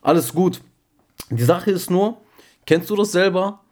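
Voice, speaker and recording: A man's voice: sits high, a little slow, a little mellow. A young man co-hosting a casual podcast chat, talking in street slang and often asking if the other man gets what he means. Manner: slangy, keeps calling the other man bro and checking he follows. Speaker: podcast co-host.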